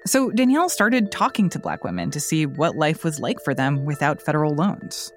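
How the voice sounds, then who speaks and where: A woman hosting a news podcast, introducing the show and interviewing a reporter in a clear, measured way. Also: deep voice, big pitch swings, faint music behind. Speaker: podcast host.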